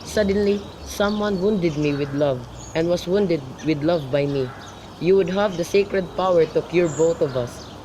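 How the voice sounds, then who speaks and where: An impatient young lover acted by a man speaks in a slightly high voice. The lines are earnestly recited, as in a student radio drama. Faint outdoor noise and birds are heard behind him. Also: measured pace, dark tone, natural voice.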